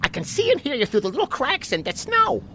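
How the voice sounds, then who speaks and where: Tiny talking water molecule played by a woman, high-pitched, animated, plucky, matter-of-fact, pushy, children's radio play. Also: fast, a little hiss or hum, exaggerated voice. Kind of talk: children's audio drama acting.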